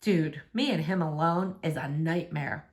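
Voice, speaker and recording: Woman voicing heartbroken teen boy, sullen, terse replies, pitched low, storybook reading. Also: a little slow, darkish tone. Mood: glum. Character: sullen, heartbroken adolescent boy soccer player.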